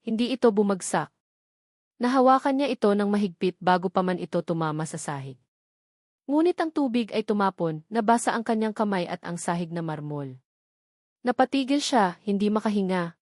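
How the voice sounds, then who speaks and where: A woman's voice: mid-range voice, animated, normal speed, a little mellow, dry recording. Female audiobook narrator, Filipino accent, dramatic scripted storytelling, mid-range voice in a dry close recording.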